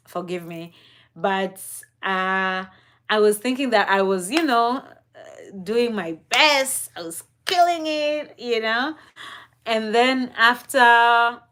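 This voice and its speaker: A young woman from East Africa telling a personal story on a live stream in a reflective, unhurried way, with frequent fillers and soft laughs. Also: fairly high pitch.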